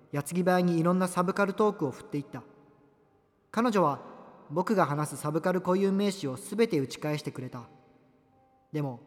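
A man's voice: fairly high pitch, in a large hall, lightly acted. An actor playing an earnest, self-conscious male university student obsessed with subculture in a radio drama. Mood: wry, confessional. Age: young adult. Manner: hesitant, self-conscious, apologetic. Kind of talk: radio drama dialogue and inner narration. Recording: music behind the voice. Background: music.

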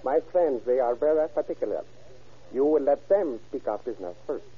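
Courteous, guarded go-between, raspy medium voice, formal broken English, old radio sound. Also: male voice, mid-range voice, expressive, brisk. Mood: polite, guarded. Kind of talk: 1940s radio acting. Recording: muffled old radio recording.

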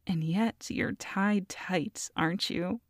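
A woman's voice: lowish voice, no echo, studio quality. A woman voicing a small, smug, flirty goblin mother who teases and taunts in an ASMR audio roleplay. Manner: teasing, smug, drawn-out villainous taunting.

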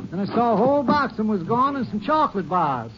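An actor playing a folksy, chatty small-town American storekeeper who rambles in homespun phrases in a 1950s radio drama. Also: male voice, sits high, very animated, fast talker. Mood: rambling, unbothered. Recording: muffled, noisy old 1950s radio recording.

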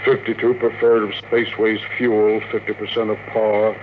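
Casting: actor as dutiful, hesitant male secretary, lowish voice, tinny vintage radio drama. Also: level tone, moderate pace, natural voice. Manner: deferential, hesitant. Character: deferential, hesitant male assistant to a tycoon. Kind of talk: classic radio drama acting. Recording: thin, noisy old radio broadcast.

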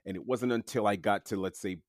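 Interview guest: New man, very low voice, unhurried and halting, lots of fillers, dry close sound. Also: no room sound. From Queens, New York City. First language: French. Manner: relaxed, halting, with many fillers and restarts. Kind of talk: casual storytelling.

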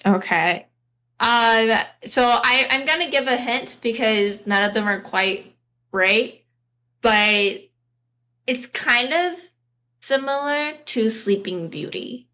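A woman hosting a podcast, telling stories in a casual, halting, conversational way. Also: on the high side, sharp tone. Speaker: podcast host running a quiz on fairy tales. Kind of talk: casual storytelling chat.

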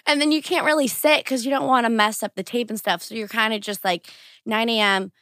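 A woman's voice: fairly high pitch, steady tone, fairly quick, a bit bright, no room sound. Young brazilian-born woman, rambly casual podcast guest, dry close mic. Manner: chatty, lots of "like", laughs often.

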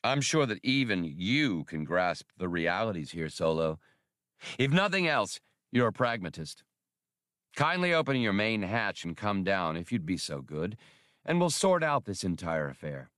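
Suave gunman villain, acted by a man, swooping pitch. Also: very animated, measured pace, fairly bright tone, no echo, studio quality, heavily acted. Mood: condescending. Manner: polite, smooth, mocking formality. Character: suave, condescending male gunman villain, deadly calm.

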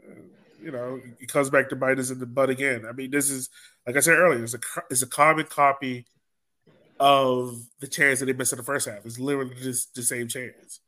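A man's voice: mid-range voice, level tone, a little fast, bright, forceful tone. A man hosting a sports podcast, talking casually through soccer match analysis in a conversational way.

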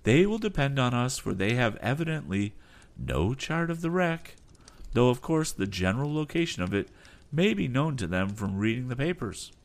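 A man's voice: mid-range voice, very animated, moderate pace, pitched above the performer's own voice. A man voicing a firm, grimly warning sea captain in a storybook reading. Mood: advising. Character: gruff male sea captain, quietly threatening.

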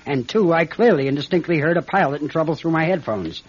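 An actor playing a troubled but resolute airline captain in a classic radio drama, American, with a clipped, plain-spoken delivery. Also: male voice, fairly high pitch, lively intonation, quick pace, husky. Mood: tense, insistent. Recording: dull lo-fi old radio recording.

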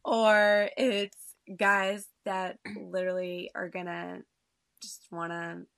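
Woman with a gravelly, worn-down voice. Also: fairly low pitch, flat delivery, slow, a bit bright, no room sound. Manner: jokey storyteller. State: losing her voice, husky and low. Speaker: podcast co-host. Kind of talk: casual podcast chat.

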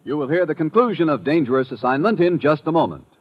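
Over prominent music, a male programme announcer speaks in a fairly high, animated voice. The delivery is formal, scripted vintage radio, on a dull old recording. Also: quick pace.

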